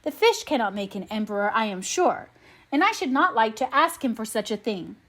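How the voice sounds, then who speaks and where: A woman's voice: a little high, sing-song, fairly quick, a bit bright. A woman voicing a henpecked, reluctant fisherman in a mournful, pleading storybook manner. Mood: doleful, worried.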